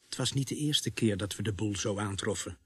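A man playing a sombre police sergeant who narrates a radio play in a grave, deliberate, husky voice. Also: on the low side, a bit bright, dry recording, soft background music. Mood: grim, measured. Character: sombre male police sergeant recounting a grisly night.

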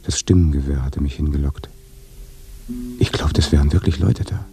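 Low, gravelly haunted dead man. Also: fairly even intonation, normal speed, warm tone. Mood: haunted, dread. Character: haunted dead man speaking from his grave, brooding and fearful. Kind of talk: German radio drama monologue, slow confessional acting.

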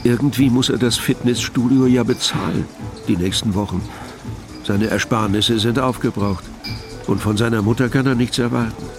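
A man's voice: mid-range voice, moderate pace. A man giving scripted literary narration in an audio production. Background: music.

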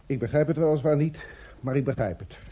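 An actor playing a baffled, submissive newspaper reporter, puzzled and deferential, in an old radio play. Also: male voice, mid-range voice, a little fast, slightly rough, dull audio, natural voice. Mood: bewildered. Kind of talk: Dutch radio play acting.

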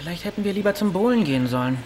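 A man's voice: sits high, animated, racing. A teenage village boy in a naturalistic radio drama. He sounds offhand and colloquial, with a casual, teasing temper. Faint music sits behind the voice.

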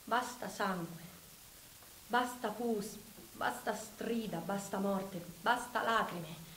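A woman's voice: high-pitched, fairly bright tone, reverberant, a little hiss or hum. An actress performing an anguished woman crying out against war, in an impassioned, declamatory theatrical monologue for radio.

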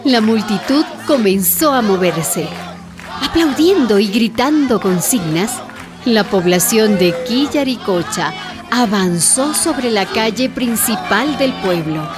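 A woman narrating a radio drama in a measured, dramatic storytelling manner. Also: fairly high pitch, lively intonation. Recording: narration over music and crowd noise.

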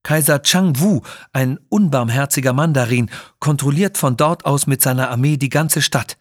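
A polite young girl from China, voiced by a man, pleading and earnest. Her voice sits clearly raised, in a clean, dry children's audio story recording. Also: medium pitch, moderate pace, dry recording, pitched above the performer's own voice, natural voice. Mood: earnest, concerned.

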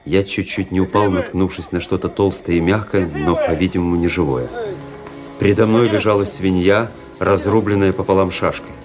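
An actor playing a weary, cynical young army officer who narrates a radio drama in a measured, introspective way. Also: male voice, sits low, close to an everyday voice. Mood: reflective, measured. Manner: introspective, world-weary, measured literary delivery. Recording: music under the voice.

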